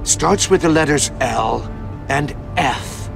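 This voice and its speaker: Smug older riddle villain for a game, slow and gravelly, taunting, music behind. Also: male voice, a little high, heavily acted. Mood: mocking cruelty. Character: gloating sadistic older male riddle-obsessed supervillain. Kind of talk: video game villain acting.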